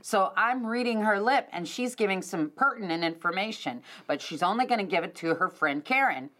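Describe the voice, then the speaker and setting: A middle-aged woman hosting a podcast, telling a story in an animated, casual way and addressing listeners directly. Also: mid-range voice, brisk. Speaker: podcast host.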